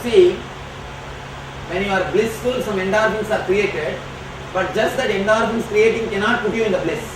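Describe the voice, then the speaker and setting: A man whose first language is Tamil, answering audience questions as a spiritual teacher, speaking slowly and emphatically. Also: high-pitched. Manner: slow, emphatic and declarative. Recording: echoey hall from far off, noisy.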